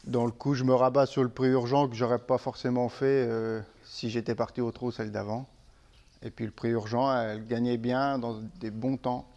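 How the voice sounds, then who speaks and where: A middle-aged man from Normandy, a horse trainer, speaking in a relaxed, halting way with restarts in a casual interview. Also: medium pitch, gravelly, highs cut off. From Manche, Normandy, France.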